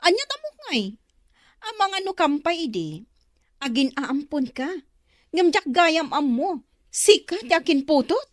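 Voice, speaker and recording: A woman's voice: high voice, big pitch swings, measured pace, pauses often, dry recording, studio quality. An actress playing a harsh, scolding mother in an Ilocano radio drama, suspicious and resentful.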